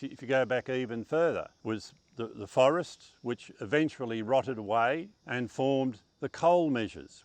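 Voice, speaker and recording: Man as a showy fire-and-brimstone preacher, grand declaiming delivery, slow and deliberate. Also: mid-range voice, no room sound, lightly acted. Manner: theatrical, declaiming. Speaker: costumed historical preacher. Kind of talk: history talk in character.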